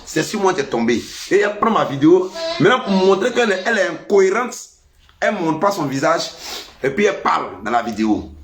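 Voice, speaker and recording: A man's voice: quick pace, a crowd in the background. Ivorian man scolding with a stuffy cold. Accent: Ivorian French. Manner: lively, scolding, animated pitch jumps. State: has a cold. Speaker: vlogger. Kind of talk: casual monologue to camera.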